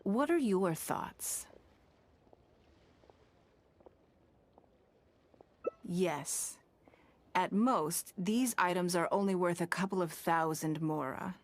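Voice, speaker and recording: An actress playing a poised, shrewd wealthy businesswoman in a video game, speaking in a measured, elegant, formal way. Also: fairly low pitch, no echo. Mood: composed, businesslike.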